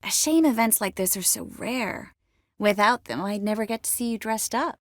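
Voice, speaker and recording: An actress playing a determined, warm young sorceress in a fantasy video game, speaking earnestly and expressively with a gently teasing manner. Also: female voice, medium pitch, expressive, moderate pace, dry recording, clean studio sound, close to an everyday voice. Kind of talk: video game dialogue acting.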